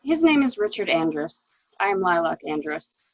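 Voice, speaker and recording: Woman acting husky, creaky, bitter wife. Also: fairly low pitch, very animated, moderate pace, no room sound, dull audio. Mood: coolly resigned. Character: cool, weary, bitter wife who shot her husband. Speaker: actor in an amateur library reading. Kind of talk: dramatic reading of a stage murder mystery.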